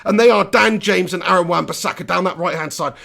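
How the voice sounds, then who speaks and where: A man hosting a live post-match reaction, hoarse from losing his voice, ranting in an animated, exasperated way. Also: high voice, fairly quick. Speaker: football fan channel host.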